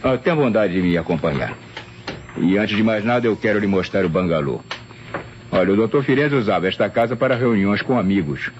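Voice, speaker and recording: A man's voice: fairly low pitch, lively intonation, a little fast, natural voice. An actor playing a courteous, talkative male secretary in an old radio drama. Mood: courteous, explanatory. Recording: dull, hissy old radio recording.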